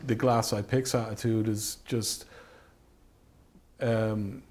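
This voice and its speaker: A man with a fairly low voice talks in a reflective documentary interview. He is thoughtful and halting, circling back over phrases as he searches for the next one. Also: little pitch movement, darkish tone, a little hiss or hum. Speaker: writer and director.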